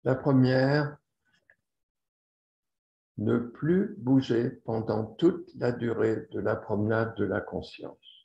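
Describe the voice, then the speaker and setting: A man leading a guided yoga relaxation as the instructor, speaking deliberately and repeating phrases for emphasis. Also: mid-range voice, fairly even intonation, vocal fry, mellow. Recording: roomy echo, slightly dull sound.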